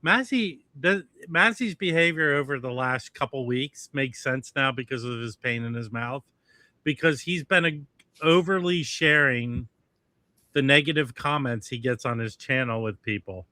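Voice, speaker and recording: A man co-hosting a casual livestream chat, halting and insistent, often restarting. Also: mid-range voice, pushed and bright, no room sound. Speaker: livestream co-host.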